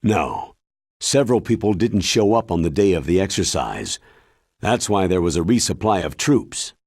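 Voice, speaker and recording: A man playing a grave, authoritative military commander in a video game, briefing in a deliberate, emphatic way with a husky voice. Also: low voice, very animated, darkish tone, dry recording, clean studio sound, exaggerated voice. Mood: measured, explanatory. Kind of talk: video game cutscene acting.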